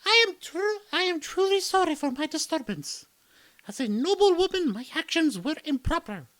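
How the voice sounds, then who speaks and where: A young noblewoman princess, speaking in a very high, swooping voice. Her tone is bright and formal, and her lines come with plenty of feeling. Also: lively intonation, moderate pace. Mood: apologetic. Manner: formal, emotional speech.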